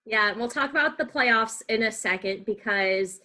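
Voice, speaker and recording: A woman's voice: a little high, moderate pace, sharp tone. A woman hosting a casual sports podcast, asking questions in an informal, conversational way. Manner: conversational, informal, uses y'all. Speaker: podcast host and interviewer. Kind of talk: casual sports interview chat.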